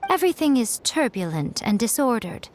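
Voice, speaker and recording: A woman playing a sly, self-assured fox shrine maiden in an anime video game, speaking in an airy, measured way. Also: fairly high pitch, big pitch swings, darkish tone, a little hiss or hum. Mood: composed, explaining. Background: game music.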